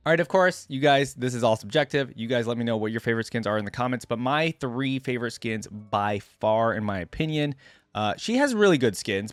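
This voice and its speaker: A man's voice: on the low side, clean tone, no echo. A man hosting a reaction video, commenting casually and conversationally on game skins as he thinks aloud.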